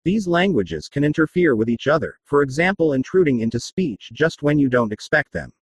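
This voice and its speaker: A man narrating a scripted documentary report, explaining things clearly as a reporter who speaks English first. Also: on the high side, sing-song, a little fast, no room sound.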